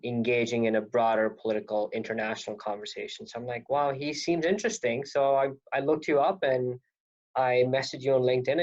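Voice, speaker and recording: A man's voice: mid-range voice, darkish tone. A man in his thirties from Pakistan, talking as an interview guest in a rambling, self-correcting way. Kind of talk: casual interview talk. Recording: dull lo-fi audio.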